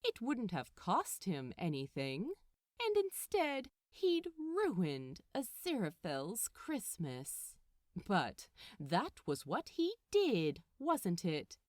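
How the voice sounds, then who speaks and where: Highly expressive woman storyteller, unhurried pace. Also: no echo, clean studio sound. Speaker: podfic narrator. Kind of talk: expressive scripted story narration.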